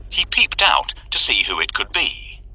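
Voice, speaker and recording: A man narrating a children's story in a dramatic, expressive, scripted style. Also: mid-range voice, unhurried, gravelly, low-quality recording.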